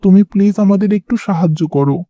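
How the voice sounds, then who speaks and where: A man's voice: sits high, quick pace, mellow, no room sound, dull audio, lightly acted. A man voicing an earnest, pleading young son in love in a cartoon drama, over music.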